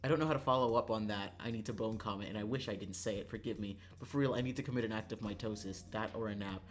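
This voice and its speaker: A man livestreaming a visual novel, moving between casual, profane, joking chat and reading the game text aloud, quick to laugh. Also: on the low side, soft background music. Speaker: streamer playing a visual novel.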